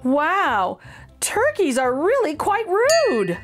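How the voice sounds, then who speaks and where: A woman's voice: very high voice, huge pitch swings, slow, over music. A middle-aged woman hosting a channel, reading a children's picture book aloud in an exaggerated, sing-song storytelling voice.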